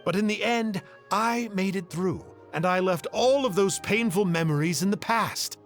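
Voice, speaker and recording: A man's voice: sits high, moderate pace, soft background music, natural voice. A man voicing a boastful, theatrical uncle who tells dramatic stories in a video game. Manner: hammy, dramatic storytelling. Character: boastful, theatrical adult male storyteller uncle.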